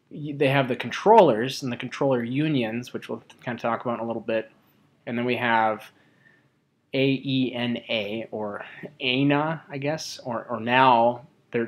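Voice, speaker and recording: A man presents informally in a colloquial way, with a halting, conversational delivery. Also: medium pitch.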